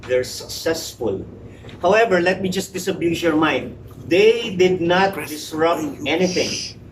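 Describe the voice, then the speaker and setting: A man's voice: a little high, animated, unhurried. Emphatic man in his forties speaking. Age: 40s. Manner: emphatic, rhetorical, addresses the crowd directly. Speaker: public speaker. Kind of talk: prepared club speech to a live audience. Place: meeting room with audience. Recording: roomy hall, mic at a distance, noisy.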